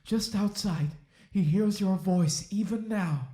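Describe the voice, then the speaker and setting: Dark-toned, troubled young colonial lawman. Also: high voice. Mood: uneasy, courteous. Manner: formal, halting, earnest. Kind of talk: period audio drama acting. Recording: roomy echo.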